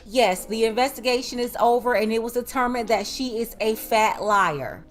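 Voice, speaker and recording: A woman delivers sarcastic online commentary with a fairly high voice. Her tone is mocking throughout. Faint music runs beneath her. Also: moderate pace.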